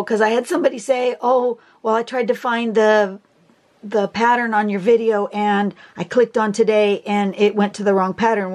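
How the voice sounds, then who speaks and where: A woman with a hoarse voice from a cold and laryngitis, talking casually through a drawing tutorial, chatty and rambling with fillers and throat clearing. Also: medium pitch, a little mellow. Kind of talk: casual instructional talk. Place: indoors at a table, filming a phone screen.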